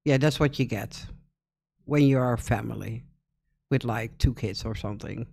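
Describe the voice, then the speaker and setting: A woman's voice: very deep voice, normal speed, gravelly, darkish tone, husky. A Dutch woman in her fifties with a Dutch accent, hosting a casual reaction video and chatting in English, often breaking in to agree. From Netherlands. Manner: chatty, thinks aloud, with frequent agreeing interjections.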